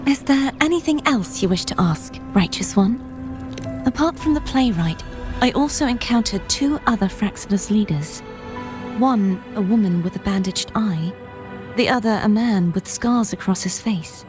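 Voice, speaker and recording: An actress playing a solemn, courteous mystical warrior woman in a video game, speaking in a formal, measured, grave way. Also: medium pitch, animated, lightly acted. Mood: courteous, inquiring. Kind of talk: scripted game dialogue. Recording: studio voice under prominent game music.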